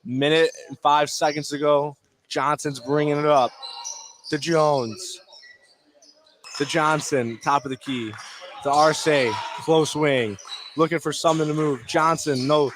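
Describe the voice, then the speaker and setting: A man's voice: a little high, fairly even intonation, a little slow, a bit bright. A man doing live basketball play-by-play on radio, calling the action in clipped short phrases. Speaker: play-by-play announcer. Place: indoor high school basketball gym. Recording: noisy gym ambience, bouncing balls. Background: game noise, basketball bounces.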